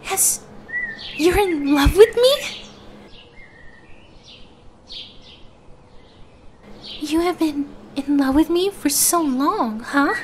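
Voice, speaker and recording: A woman's voice: high voice, expressive, takes it slowly, slight background noise. A woman voicing a shy, flustered young woman in an ASMR roleplay, speaking hesitantly with bashful stammers. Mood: shy, tender. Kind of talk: ASMR roleplay audio drama.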